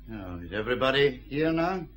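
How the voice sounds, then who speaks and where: An actor playing a matter-of-fact police forensic superintendent in a radio detective drama. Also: male voice, medium pitch, sing-song, fairly quick, natural voice.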